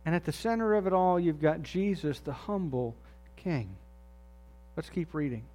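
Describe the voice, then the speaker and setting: Man, high voice, conversational sermon, soft low drops on key words. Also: animated, normal speed. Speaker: pastor.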